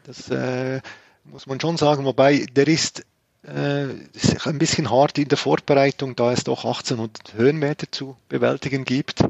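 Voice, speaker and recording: A man's voice: medium pitch, fairly even intonation, moderate pace, creaky, darkish tone, no echo, dull audio. A man with a Swiss German accent, a podcast guest talking casually with many fillers and restarts. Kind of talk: casual conversation.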